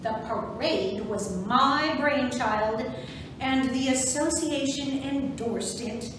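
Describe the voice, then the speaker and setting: A woman playing a proud, brisk suffragist parade organizer in an amateur reader's theatre monologue. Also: sits high. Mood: proud, informative. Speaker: volunteer docent performer. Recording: very echoey, distant, noisy room recording.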